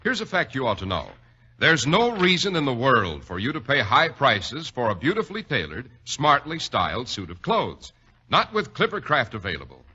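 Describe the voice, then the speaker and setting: A man's voice: medium pitch, huge pitch swings, moderate pace, sharp tone, slightly rough. An American man announcing and hosting in 1940s radio style, with polished, emphatic salesmanship. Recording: dull 1940s radio recording.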